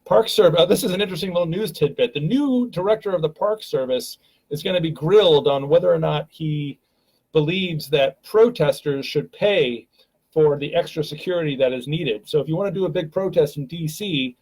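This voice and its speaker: A man's voice: a little high, moderate pace, darkish tone, slightly rough, low-quality recording. A man hosting a live stream, talking casually and rambling with many fillers and restarts, from a home webcam.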